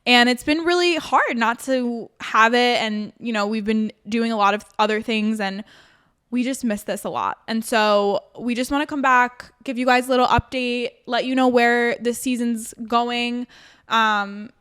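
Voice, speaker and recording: A woman's voice: a little high, fairly even intonation, unhurried, a bit bright, clear, resonant tone. A woman co-hosting a podcast in casual chat, talking earnestly in long flowing sentences. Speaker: podcast co-host.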